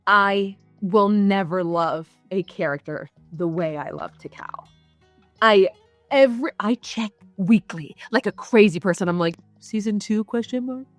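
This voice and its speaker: A young woman talks eagerly in an interview, her words tumbling out with fillers and quick asides. Her pitch sits in the middle range. The sound is close and dry, with faint music underneath. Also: no room sound. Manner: animated, gushing, many fillers and quick asides. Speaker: voice actor guest. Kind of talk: casual interview chat.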